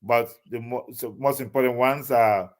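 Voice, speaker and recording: Halting man with many fillers. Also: mid-range voice, steady tone. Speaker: guest. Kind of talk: casual interview talk.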